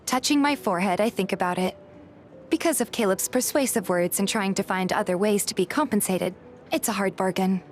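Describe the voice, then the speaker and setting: A woman's voice: medium pitch, fairly quick, a little hiss or hum. A woman reading a scripted first-person story as narrator and voice actor in a fan dub.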